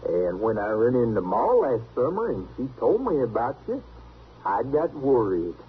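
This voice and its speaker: An actor playing a naive, stubborn, good-natured backwoods drifter with a rustic Southern drawl, earnest and artless, in old radio western style. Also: male voice, mid-range voice, huge pitch swings, moderate pace, narrow, tinny audio. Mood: eager. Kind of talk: 1950s radio western acting. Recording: band-limited, noisy old radio recording.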